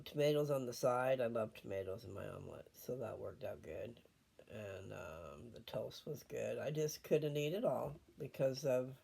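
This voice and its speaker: Older man, low creaky voice. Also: dark tone. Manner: unhurried, wandering, thinking aloud. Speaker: host reading scripture. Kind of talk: rambling casual chat and scripture reading. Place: at home.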